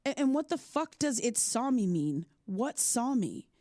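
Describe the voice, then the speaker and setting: A woman's voice: fairly high pitch, big pitch swings, unhurried, darkish tone, no room sound, clean studio sound. An actress playing a troubled, haunted woman podcaster, earnest and hesitant, in a naturalistic audio drama. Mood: distressed, questioning.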